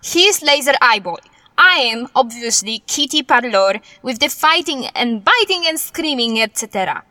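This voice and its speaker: High, bright, brash superheroine played by a woman. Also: expressive, normal speed, dry recording. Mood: boastful. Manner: blunt, dismissive, drops articles in foreign-sounding broken English. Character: brash, bossy female cat-themed superhero with tragic backstory. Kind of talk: comedic audio drama superhero parody.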